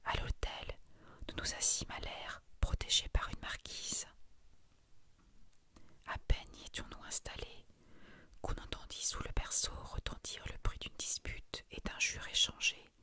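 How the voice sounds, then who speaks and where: A woman's voice: measured pace, sharp tone. Whispering woman reading an ASMR story. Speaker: narrator reading a short story.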